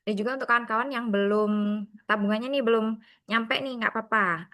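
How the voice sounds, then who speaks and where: A woman hosts and wraps up an online sharing session. She talks in a friendly, brisk way and slips in English phrases. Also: on the high side, bright, forceful tone. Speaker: session moderator.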